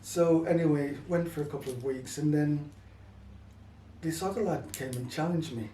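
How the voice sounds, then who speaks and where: Older man, mellow mid-pitched voice, reflective interview storytelling, slightly hesitant, distant mic with faint noise. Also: normal speed, roomy sound. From Caribbean, then England from childhood.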